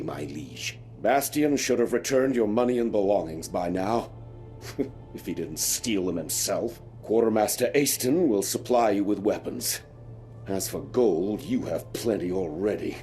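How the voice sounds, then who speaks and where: Casting: grumbling game prison warden, low and dark, pompous and sardonic, music underneath. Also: male voice, animated, measured pace. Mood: grudging, wry. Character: Valber, grumbling pompous prison warden man, middle-aged, fantasy. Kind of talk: fantasy video game voice acting.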